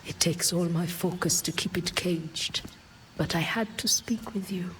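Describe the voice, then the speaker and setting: An actress playing a weary, motherly immortal mentor in a video game cutscene, grave and tender, at times hushed. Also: fairly low pitch, measured pace, whispered, poor audio. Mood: regretful confession.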